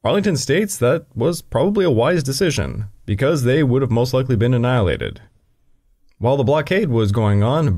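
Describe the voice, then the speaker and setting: A man's voice: medium pitch, darkish tone. A man narrating a scripted history podcast, with dry joking asides. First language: English. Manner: dry asides breaking into the reading.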